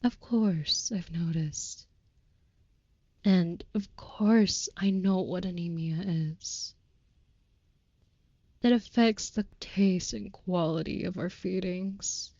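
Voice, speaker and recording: A devoted female vampire lover, acted by a woman speaks low and very unhurriedly in an intimate comfort roleplay. Her phrasing is courtly, old-fashioned and tender, with an earnest, protective air. The recording is close, dry and slightly dull. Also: slow, long pauses, darkish tone, no echo. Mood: concerned.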